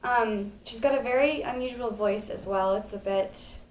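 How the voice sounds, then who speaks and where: Airy-voiced actress in roomy room. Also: female voice, on the high side, moderate pace, a little hiss or hum. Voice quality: airy, light voice. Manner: giggly, chatty, rambling with fillers. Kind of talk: casual live stream chat. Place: living room couch. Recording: roomy living room echo.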